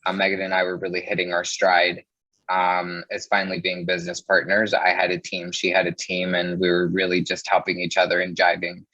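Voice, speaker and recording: A man with a low voice tells stories as an interview guest. He is casual and chatty, dropping "like" into his sentences. The sound is dry and a little dull and muffled. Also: completely flat delivery, fairly quick, sharp tone, dry recording. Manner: chatty, peppers speech with "like". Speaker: interview guest, mortgage loan originator. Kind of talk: casual conversational storytelling.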